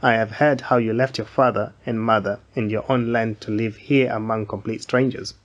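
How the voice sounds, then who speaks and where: Guy acting as kind rich landowner in a bible reading, formal and measured, lo-fi muffled audio. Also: on the low side, fairly even intonation, close to an everyday voice. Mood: solemn blessing. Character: Boaz, kindly wealthy landowner, authoritative and generous.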